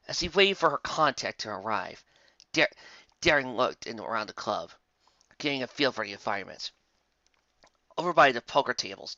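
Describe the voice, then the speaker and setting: Story narrator, medium pitch, bright tense tone, dramatic scripted reading, occasional stumbles, dry slightly muffled home recording. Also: dry recording. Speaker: fan fiction narrator.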